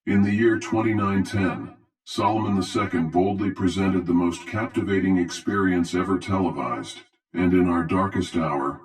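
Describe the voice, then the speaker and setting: A man's voice: deep voice, darkish tone, natural voice. A man playing a cold, pompous masked herald in an audio drama, making formal, grandiose announcements in a metallic voice over a television. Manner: formal, grandiose, measured proclamation. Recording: distant-sounding metallic television voice.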